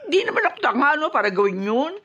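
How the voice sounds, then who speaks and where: A scheming mistress in a radio drama. She complains emphatically in a high voice with very lively pitch movement, always sounding hard done by. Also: fast, husky, muffled recording, full character voice. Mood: agitated. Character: aggrieved, scheming mistress complaining to her lover.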